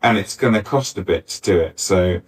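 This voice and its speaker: A man's voice: deep voice, moderate pace, breathy edge. A man talking casually as a panelist at a live event, rambling and jokey, often interrupting himself. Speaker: pantomime writer, panelist. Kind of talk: casual, joking panel talk. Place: arts centre stage, live panel. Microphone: distant backup recorder. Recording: distant mic, uneven backup recording.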